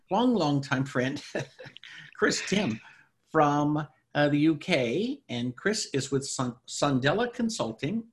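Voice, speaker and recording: A middle-aged man hosting a podcast, reading an intro and asking easygoing interview questions. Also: on the high side. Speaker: podcast host.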